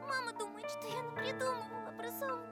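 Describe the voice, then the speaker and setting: An actress voicing a stubborn, emotional little sister in a visual novel, with a childish, emphatic delivery. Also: female voice, very high voice, little pitch movement, fast. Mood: earnest, pleading. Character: stubborn, playful, fearful little sister, young girl. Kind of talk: visual novel character voice acting. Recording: loud music under the voice.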